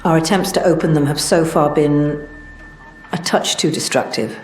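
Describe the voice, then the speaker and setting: An actress playing a composed research team leader in a video game, giving tasks in a polite, measured way. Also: female voice, low voice, a little hiss or hum, close to an everyday voice. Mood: businesslike. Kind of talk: scripted game quest dialogue. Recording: roomy echo, faint music.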